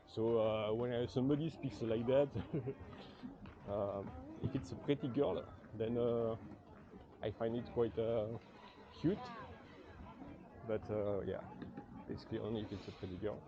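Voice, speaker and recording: A man's voice: mid-range voice, steady tone, unhurried, long pauses, background noise. A man from France with a French accent, chatting and joking in a rambling way while walking an outdoor night market as a travel vlogger, recorded on a camera he carries. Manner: chatty, joking, self-deprecating, many fillers. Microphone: 360 camera mic carried while walking. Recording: dull lo-fi street recording. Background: loud street and crowd ambience, vehicles.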